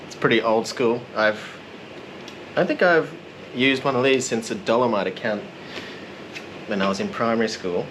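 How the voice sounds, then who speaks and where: A man narrating a casual vlog in a relaxed, rambling, self-deprecating way. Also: medium pitch, normal speed, pauses often, noisy background. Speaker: vlogger.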